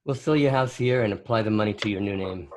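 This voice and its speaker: A man playing a brisk, businesslike federal agent in an audio drama. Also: lowish voice, a little mellow, slight background noise, lightly acted. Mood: brisk, firm.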